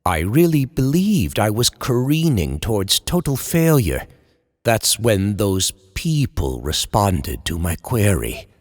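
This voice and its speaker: Man playing an anguished horror writer, slow and echoing. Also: on the low side, full character voice. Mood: grave, regretful, then bitter, disgusted. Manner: theatrical, eloquent, dramatic confessional monologue. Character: anguished horror writer, a man confessing his descent into madness. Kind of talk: old time radio horror storytelling. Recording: long reverberant hall echo.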